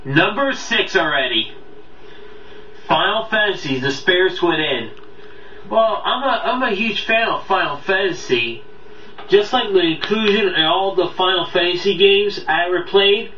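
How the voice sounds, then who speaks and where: A man hosting a casual countdown review, talking off the cuff in a rambling, halting way that often loses its thread. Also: sits high, lively intonation, a bit bright. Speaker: video countdown host and reviewer. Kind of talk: casual unscripted review talk to viewers. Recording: roomy, distant, dull mic, faint noise.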